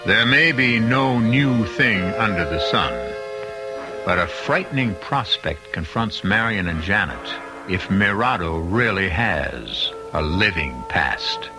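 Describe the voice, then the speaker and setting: A man hosting an old-time radio drama with scripted, measured, ominous suspense narration. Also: on the low side. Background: clear music.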